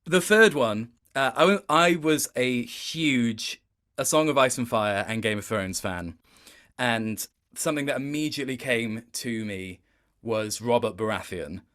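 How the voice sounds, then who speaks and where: Guy doing a casual interview, medium pitch, kinda rambling and hesitant, keen about his acting work. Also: expressive, a little slow, a bit bright. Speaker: voice actor, interview guest. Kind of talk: casual interview chat, anecdotal.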